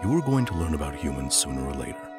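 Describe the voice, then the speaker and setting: A man voicing a weary, scholarly skeleton scientist father in a comic dub, speaking formally and deliberately, often breathily. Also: very deep voice, big pitch swings. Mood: thoughtful. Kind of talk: dramatic comic dub acting. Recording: loud music behind the voice.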